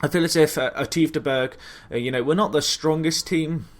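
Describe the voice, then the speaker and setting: A man's voice: fairly high pitch, a little fast. A man hosting a gaming video with casual, chatty commentary on a football management game, hesitating and thinking aloud as he goes.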